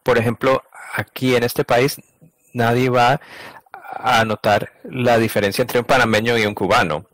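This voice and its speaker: A man interpreting a conversation, speaking in a halting, stop-and-start way and often correcting himself mid-phrase. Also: mid-range voice, fairly even intonation, fairly bright tone, no room sound, rough recording. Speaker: interpreter voicing a translated conversation.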